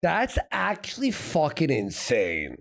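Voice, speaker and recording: Casting: male co-host with a creaky, swinging delivery, blunt and emphatic, casual reaction talk. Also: a little high, huge pitch swings, slow, a bit bright. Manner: blunt, emphatic, repeats himself. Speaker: show co-host.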